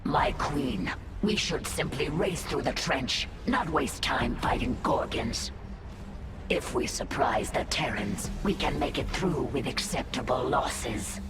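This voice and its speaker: A woman's voice: rumbling low, slow, loud music behind the voice. An actress voicing a proud alien brood-mother warrior in a sci-fi game, speaking formally and solemnly to her queen. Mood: wary. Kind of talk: scripted sci-fi game voice acting.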